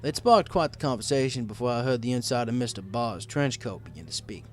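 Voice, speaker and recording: Man, story narrator, medium pitch, lively varied intonation, first-person storytelling, light background music. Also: a little fast.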